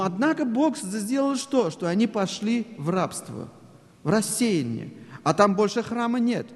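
A male preacher delivers a sermon in an echoey church hall. His voice sits high and moves a great deal in pitch. He talks in an explaining way, asks rhetorical questions, and speaks Russian as a learned second language. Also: a little mellow.

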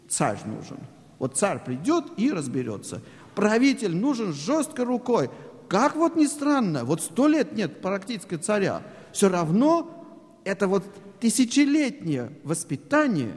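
A man's voice: high-pitched, a little mellow. A man preaching a sermon in Russian, which is not his first language. He explains at length, poses rhetorical questions, and uses many fillers. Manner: speaks Russian as a second language. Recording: echoey hall.

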